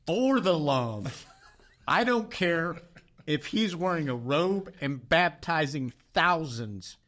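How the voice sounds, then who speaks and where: Middle-aged male pastor, podcast co-host, animated and emphatic storyteller. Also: fairly high pitch, slow. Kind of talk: casual chat.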